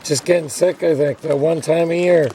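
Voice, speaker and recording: Hoarse man, rambling canoe vlog, camera mic outdoors, clear wind and water noise. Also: a little high, fairly even intonation, normal speed, mellow, low-quality recording. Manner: rambling, talks to viewers while paddling. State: hoarse, voice failing from illness. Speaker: vlogger on a solo canoe trip. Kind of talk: casual talk to camera.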